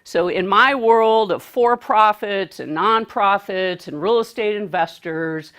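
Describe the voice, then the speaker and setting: Woman speaker at a live seminar, measured and deliberate, projects to the back and quizzes the audience. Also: medium pitch, fairly bright tone. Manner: projecting, asks the audience questions.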